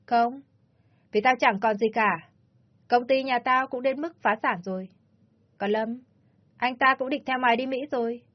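A woman's voice: fairly high pitch, measured pace, pauses often, pitched above the performer's own voice, close to an everyday voice. A woman voicing a cruel, jealous woman kidnapper who speaks harshly and with contempt. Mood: bitter. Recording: dull lo-fi audio with soft music.

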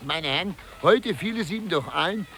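An actor playing a jovial, indulgent small-town gentleman telling anecdotes in a 1950s German radio play, on a noisy old tape. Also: high voice, lively intonation, normal speed, exaggerated voice. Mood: amused, indulgent.

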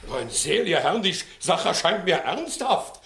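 Pompous crafty old village judge, high forceful voice, blustery, roomy old radio play sound. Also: male voice, very animated, a little slow, a crowd in the background, exaggerated voice. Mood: mock solemn. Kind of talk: 1960s German classical comedy radio play acting.

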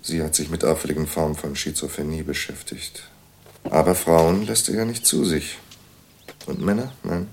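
Very deep-voiced, matter-of-fact clinic doctor. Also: male voice, fairly even intonation, moderate pace, a little mellow, slight background noise. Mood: matter-of-fact, wry. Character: male psychiatric clinic doctor, matter-of-fact, dryly wry. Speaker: actor in an audio drama. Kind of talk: naturalistic audio drama dialogue.

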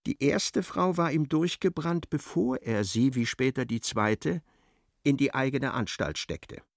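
A man narrating a literary radio drama in a measured, articulate, storytelling way. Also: mid-range voice, lively intonation, no room sound, clean studio sound.

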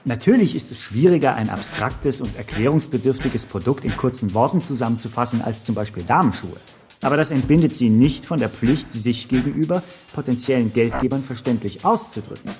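An actor playing a slick, patronizing pitch coach who lectures didactically in a radio drama. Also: male voice, expressive, brisk, darkish tone, faint music behind, natural voice. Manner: didactic, rhetorical, peppered with business English.

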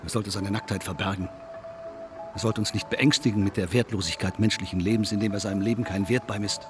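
An actor playing a cynical, coolly provocative man in a stylised radio play, smug, needling and deliberate. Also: lowish voice, fairly even intonation, over music, close to an everyday voice. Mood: cool, argumentative.

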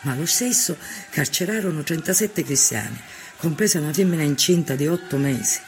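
A man's voice: fairly high pitch, expressive, fairly quick, faint music behind. A man playing an impassioned poor Sicilian peasant militant of the 1890s in a documentary drama, plain-spoken, with a Sicilian accent. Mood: indignant.

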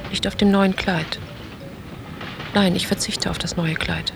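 An anxious, creaky-voiced inner monologue. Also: female voice, fairly low pitch, fairly even intonation, a little slow, noisy background. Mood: uneasy. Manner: terse, fragmentary, brooding, dropping to whispers. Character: anxious, withdrawn younger woman lost in inner thoughts. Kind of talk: literary radio drama monologue.

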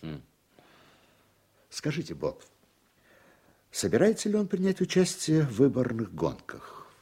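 An actor playing a straightforward, ambitious judge in a radio drama, measured and authoritative, with a husky voice. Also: male voice, medium pitch, wildly swooping pitch, warm tone, full character voice. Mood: frank, deliberate. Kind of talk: Soviet-era radio drama acting.

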